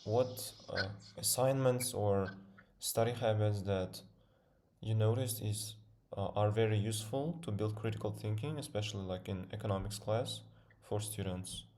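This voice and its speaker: A man's voice: lowish voice, moderate pace, a little mellow. A man interviewing a guest, phrasing his question hesitantly.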